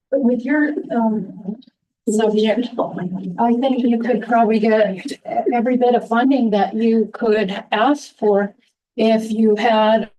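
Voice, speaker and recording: Soft-spoken woman, halting, mic at a distance. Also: fairly high pitch, lo-fi sound. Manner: halting, many restarts and fillers. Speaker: resident addressing town council. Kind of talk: public comment at a council meeting. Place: town council chamber.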